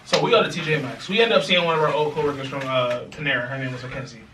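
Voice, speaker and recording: A young man co-hosting a podcast, telling a story in a casual, animated way, laughing and acting out other people's lines. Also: mid-range voice, rapid-fire, noisy background. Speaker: podcast co-host. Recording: echoey room, mic a bit far.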